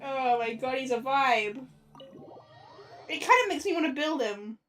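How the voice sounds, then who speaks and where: A woman livestreamer reacts casually to a game. Her voice is high and very animated but unhurried overall, full of repeated exclamations and the odd swear word. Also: soft background music.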